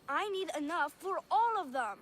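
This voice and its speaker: A woman's voice: very high voice, lively intonation, moderate pace, a bit bright, a little hiss or hum. An actress playing an innocent, lonely little girl in a video game, earnest and playful. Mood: earnest, sweet. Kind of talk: video game cutscene acting.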